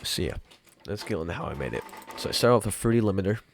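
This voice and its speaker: A young man hosting a casual software tutorial in an informal, rambling way. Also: lowish voice, lively intonation, racing, gravelly, slight background noise. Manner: informal, rambling, mild swearing. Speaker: tutorial host. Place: room at home.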